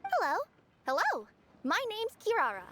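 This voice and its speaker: Excitable young cat girl courier, really high and swoopy, polite game character. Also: normal speed, exaggerated voice. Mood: friendly, chatty. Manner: bubbly, polite, eager to please. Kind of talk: video game cutscene acting. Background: game music.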